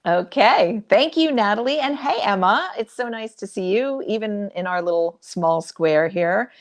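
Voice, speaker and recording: A woman's voice: medium pitch, animated, a little slow. A woman hosting a conversation as interviewer on a video call, chatting in a casual way.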